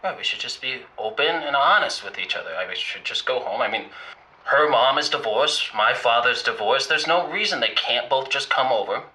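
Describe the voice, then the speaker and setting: An actor playing an earnest, anxious and slightly vain male caller to a comic radio advice show, rambling and halting. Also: medium pitch, pushed and bright, low-quality recording.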